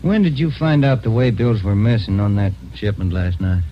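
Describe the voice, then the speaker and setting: An actor playing an earnest police detective, the junior partner, in a 1940s radio drama. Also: male voice, on the low side, expressive, normal speed, natural voice. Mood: earnest, businesslike. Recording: muffled, faintly noisy vintage radio recording.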